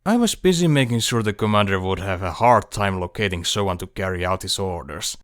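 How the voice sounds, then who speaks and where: A man playing a devoted, determined lover in audiobook dialogue, speaking in a hushed, earnest, measured way. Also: sits low, expressive, no room sound, studio quality. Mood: wry.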